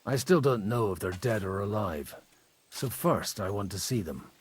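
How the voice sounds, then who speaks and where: A man playing a worldly medieval parish priest in a video game, measured and dryly witty, with music behind. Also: on the low side, animated, husky. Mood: wry, self-assured. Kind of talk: video game cutscene acting.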